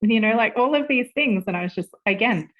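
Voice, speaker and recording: A woman in her forties, a podcast guest, chatting casually with lively laughter and the odd swear word. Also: a little high, a little fast, muffled recording. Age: 40s. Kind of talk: casual interview chat.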